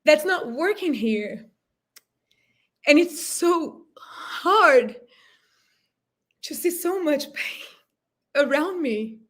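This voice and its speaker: A woman in her thirties with a Brazilian Portuguese accent speaks on a video call, her voice sitting a little high. She talks with raw, earnest emotion and often pauses to find the right English word. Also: lively intonation, a bit bright. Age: 30s. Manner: emotional, earnest, searching for words. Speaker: panel guest. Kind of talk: impassioned panel discussion.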